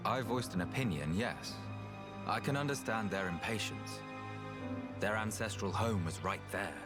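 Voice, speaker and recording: An actor playing a calm, reasonable and slightly weary researcher in a video game, speaking in an unhurried, thoughtful way. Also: male voice, on the low side, lightly acted. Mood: grave. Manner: unhurried, thoughtful, plain-spoken. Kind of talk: video game cutscene acting. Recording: game music behind the voice.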